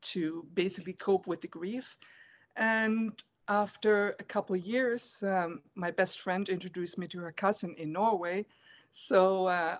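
Woman from Germany, middle-aged, interview guest, soft-spoken, hesitant, low-ish voice, webcam at home. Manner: soft-spoken, hesitant, many ums, laughs often. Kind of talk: casual video call interview. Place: room at home, indoors. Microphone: laptop webcam video call.

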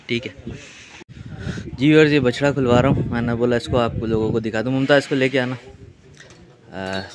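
A man with a Pakistani Urdu accent, chatting casually to his viewers while walking around a cattle farm outdoors, filmed on a phone. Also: on the low side. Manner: chatty, addresses viewers directly, many fillers. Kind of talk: casual vlog narration.

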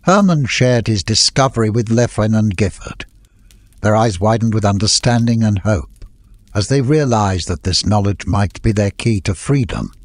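Male narrator, husky, fairly low voice, scripted storytelling. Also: normal speed, no room sound. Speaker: audiobook narrator.

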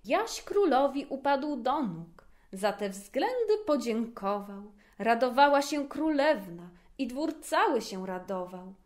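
A woman narrating a rhymed fairy tale in an expressive, theatrical storytelling manner. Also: on the high side, slow, hardly any pauses.

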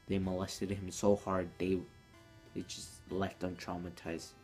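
A man's voice: deep voice, level tone, unhurried, darkish tone, faint music behind. A teenage young man telling a story casually to camera in a small room indoors, as a vlogger.

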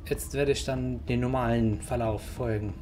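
A slightly hoarse man casually commenting as he plays a video game. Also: mid-range voice, normal speed, music in the background. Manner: relaxed, thinking aloud. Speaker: let's play host.

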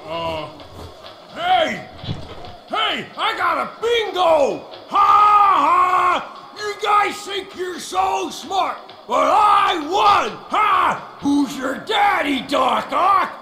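Big dumb rhino villain, boastful game cartoon voice, super high and drawn out, echoey. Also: male voice, big pitch swings, bright, forceful tone, shouting, some chatter behind, exaggerated voice. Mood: gloating. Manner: bellowing, slow-witted. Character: huge dim-witted rhino-suited villain, childishly boastful. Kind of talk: cartoonish video game acting. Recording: echoey room, faint noise.

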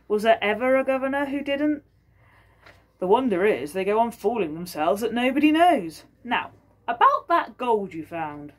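A woman voicing a talkative, shrewd peasant wife who rattles on in a folktale. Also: lowish voice. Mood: knowing.

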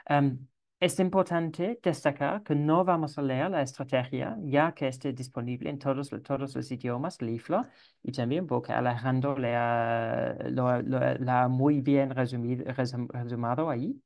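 A man's voice: medium pitch, steady tone, vocal fry, darkish tone. Male moderator on a video call, hesitant delivery, plenty of restarts. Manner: halting, frequent restarts. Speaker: session moderator. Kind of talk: online consultation session.

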